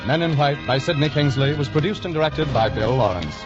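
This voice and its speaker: A man's voice: mid-range voice, expressive, brisk, over loud music. A man working as a network announcer, reading credits in polished, formal, projected 1940s radio style. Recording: muffled 1940s radio broadcast.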